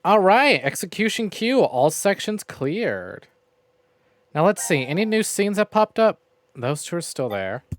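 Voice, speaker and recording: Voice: mid-range voice, big pitch swings, measured pace, dry recording. A streamer giving casual, jokey and sweary live commentary while playing a visual novel. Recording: game music behind the voice. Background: video game music.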